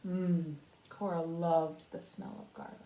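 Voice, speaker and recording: A woman's voice: low-pitched, a little hiss or hum. Lady reading a kids story like a kindergarten teacher, voice goes up and down a lot, bit echoey. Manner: animated, pausing to talk to young listeners. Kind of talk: expressive children's storybook read-aloud. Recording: roomy echo.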